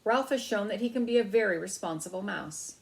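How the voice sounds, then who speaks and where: A woman's voice: mid-range voice, a little fast, gravelly, pitched above the performer's own voice, lightly acted. A woman voicing a hearty, encouraging uncle mouse in a storybook reading. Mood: firm, approving. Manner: hearty, emphatic.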